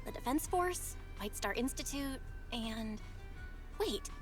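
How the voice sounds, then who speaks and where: Brash, high, lively young schemer. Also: female voice, slow. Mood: boastful, scheming. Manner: boastful, teasing, theatrical. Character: brash, scheming young female leader of a mercenary crew. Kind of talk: anime-style video game voice acting. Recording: music behind the voice. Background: background music.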